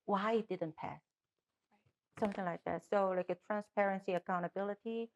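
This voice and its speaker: Korean-accented woman candidate, halting answers, mid pitch, kinda muffled audio. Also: steady tone, darkish tone. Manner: halting, with frequent restarts and fillers. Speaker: political candidate. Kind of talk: interview answers.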